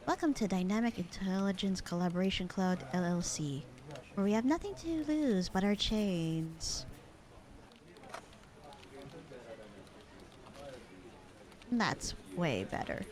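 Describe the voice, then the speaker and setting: A woman playing a bored, sarcastic, slightly flirty secretary in a casual roleplay audio drama, with a deadpan, slangy delivery. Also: mid-range voice, moderate pace, lightly acted. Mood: dryly sarcastic. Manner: deadpan, slangy, unbothered drawl.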